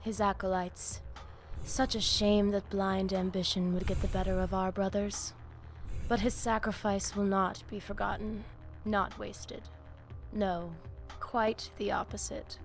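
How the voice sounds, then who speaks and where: Casting: actress for female vampire pack leader, video game. Commanding, oratorical, haughty, medium pitch, faint music underneath. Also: flat delivery, close to an everyday voice. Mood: solemn, rousing. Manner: measured, oratorical, with Spanish words mixed in.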